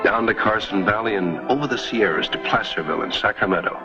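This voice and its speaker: An actor playing an encouraging young mine superintendent in an old-time Western radio drama, with an earnest manner. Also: male voice, lowish voice, fast, lightly acted. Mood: upbeat, warm. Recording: muffled old radio drama recording, music behind.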